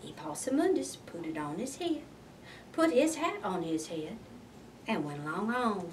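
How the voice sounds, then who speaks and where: A woman reading a picture book aloud as expressive narration, like a librarian at story time. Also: mid-range voice, normal speed, distant mic, a little hiss or hum.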